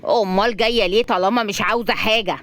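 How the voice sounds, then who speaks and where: A woman's voice: medium pitch, steady tone, quick pace, a bit bright, no room sound, close to an everyday voice. A woman voicing a cold, hot-tempered businessman in an audio story, with a curt, impatient manner.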